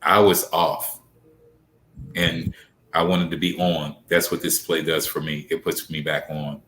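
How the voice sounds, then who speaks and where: A man with a very deep yet bright, forceful voice gives reflective personal testimony. He speaks in an earnest, confessional way, and the room around him adds a clear echo. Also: level tone, unhurried, a little hiss or hum. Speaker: stage play cast or crew guest. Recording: roomy echo.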